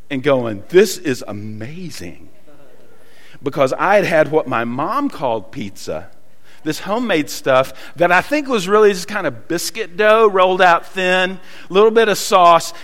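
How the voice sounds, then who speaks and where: A man's voice: sits high, a bit bright. Southern man preaching in a hall. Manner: folksy storytelling with many pauses and fillers. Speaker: pastor. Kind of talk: sermon. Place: church hall.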